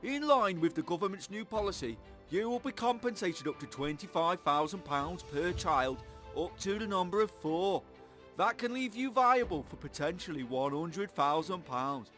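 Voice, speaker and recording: An actor playing a patriotic government announcer, emphatic and declamatory, in a propaganda radio spot. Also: male voice, high voice, animated, normal speed, fairly bright tone, faint music behind. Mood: rousing, official.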